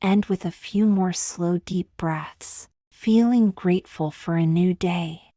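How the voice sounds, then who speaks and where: A woman leading a scripted guided meditation in a soothing, gentle, measured way, with long pauses and soft, breathy phrase endings. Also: fairly low pitch, no echo, studio quality.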